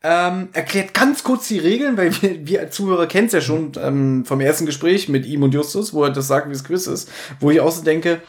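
Male podcast co-host, slightly high voice, casual and animated retelling. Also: brisk, few pauses. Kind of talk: casual chat reviewing an audio drama.

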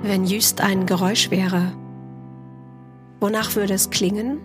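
A woman's voice: medium pitch, steady tone, slow, music in the background. A woman hosting a podcast, chatting casually and playfully with anecdotes and teasing questions. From island of Juist, Germany. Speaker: podcast host. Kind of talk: casual conversational interview.

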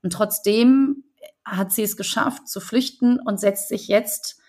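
A woman's voice: medium pitch, slow, a little mellow. A woman author being interviewed, speaking earnestly and thoughtfully with frequent fillers and restarts. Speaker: correspondent and book author, guest. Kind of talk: conversational podcast interview.

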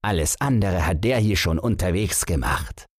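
A man's voice: low-pitched, lively intonation, normal speed, no room sound, clean studio sound. A man voicing a garrulous older horseman, a grumbling and mocking servant of the king in a fairy tale audiobook. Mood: exasperated.

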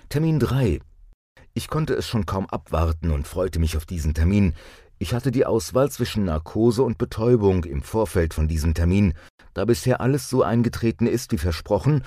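A man reading a scripted first-person testimonial as a narrator, in a measured way. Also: fairly low pitch, dark tone, no room sound, clean studio sound.

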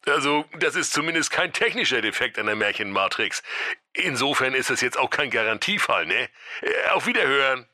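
A very bright, forceful male call-centre agent from a comic fairytale, acted by a man. He is breezy and unbothered, rattling off chatty officialese deadpan in a dry, close sound. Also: mid-range voice, moderate pace, no room sound. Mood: dismissive, helpful.

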